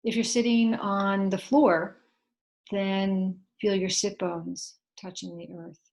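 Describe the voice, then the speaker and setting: A woman leading a guided meditation in a gentle, unhurried way, leaving long pauses between short instructions. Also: medium pitch, flat delivery.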